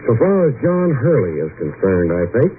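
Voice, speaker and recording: An actor playing a cool, wry, hard-boiled private detective in an old-time radio drama, with a dry, laconic, wisecracking delivery. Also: male voice, medium pitch, big pitch swings, moderate pace, lightly acted. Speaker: radio drama lead and narrator. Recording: tinny, narrow-band old radio recording, faint noise.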